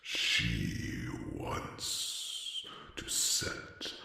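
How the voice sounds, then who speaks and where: A man voicing a huge, solemn male dragon, a wise war leader and mentor, speaking gravely with a gravelly, breathy rumble and weighty pauses in a fantasy ASMR roleplay. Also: pushed and bright, heavily acted. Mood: somber, worried. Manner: slow, grave, halting, with long weighty pauses. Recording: cavernous echo and long reverb, distant.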